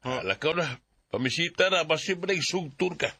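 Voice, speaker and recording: A gruff, joking father in a Bisaya radio drama. His voice is sharp and husky, with a dry, close sound. He has a Bisaya accent. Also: a little high, fairly quick, no room sound, faint music behind. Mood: teasing. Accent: Filipino, Bisaya.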